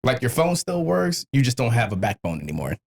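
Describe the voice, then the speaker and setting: Male American podcast host, mid-pitched and highly animated, wry, sweary, emphatic comic delivery. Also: fairly quick, creaky, slight background noise. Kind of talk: casual comedic podcast banter and monologue.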